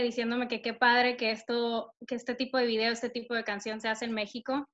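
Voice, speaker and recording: A woman's voice: a little high, fairly bright tone, highs cut off. Upbeat young woman, northern Mexican accent. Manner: upbeat, chatty, many fillers. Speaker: singer-songwriter guest. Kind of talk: casual interview over video call. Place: bright room at home. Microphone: laptop camera on video call.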